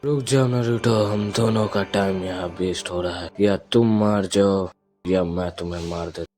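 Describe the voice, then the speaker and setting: A man's voice: low voice, steady tone, moderate pace, close to an everyday voice. A man voicing a brooding, power-hungry young ninja rival with cold, menacing declarations, in an amateur anime fan dub. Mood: cold, defiant. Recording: music behind the voice.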